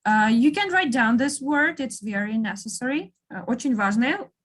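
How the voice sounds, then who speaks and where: High-voiced woman teaching a lesson. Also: lively intonation, moderate pace. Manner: encouraging, switches between languages. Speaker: English teacher. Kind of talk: online language lesson, explaining and prompting.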